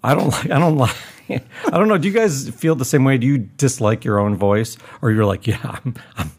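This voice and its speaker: A man's voice: mid-range voice, moderate pace, husky. A man co-hosting a casual podcast chat about games, dry and self-deprecating. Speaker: podcast co-host.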